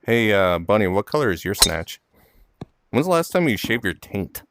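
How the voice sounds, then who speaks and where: A man's voice: fairly low pitch, sing-song, moderate pace, background noise. A man hosting a casual golf game with friends, telling crude jokes and laughing often. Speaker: host and golf player. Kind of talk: casual gaming banter.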